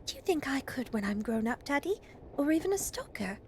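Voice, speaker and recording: A woman's voice: high voice, big pitch swings, fairly quick, slight background noise, voice pushed up. A woman voicing an eager, earnest young girl in a storybook reading.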